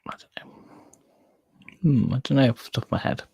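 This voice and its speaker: A man co-hosting a casual fan livestream, chatting informally in a hesitant, halting way. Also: mellow, no echo, in a whisper. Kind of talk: casual chat.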